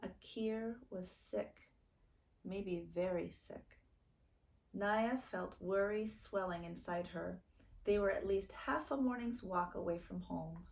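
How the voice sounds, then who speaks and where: Slow, gravelly-edged woman reading a storybook. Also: mid-range voice, a little mellow, rough recording. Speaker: storytime reader. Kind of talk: children's book read-aloud narration.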